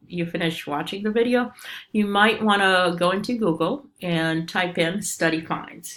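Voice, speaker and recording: Romanian-accented woman, lower pitched. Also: a bit bright. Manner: informal, many ums and uhs, explanatory. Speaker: statistics professor. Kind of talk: recorded course introduction lecture.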